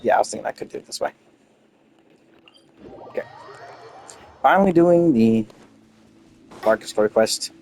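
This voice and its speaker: A man's voice: mid-range voice, lively intonation, measured pace, pauses often, a little mellow, low-quality recording. A man giving casual playthrough commentary as a game streamer, muttering offhand asides. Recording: game music behind the voice.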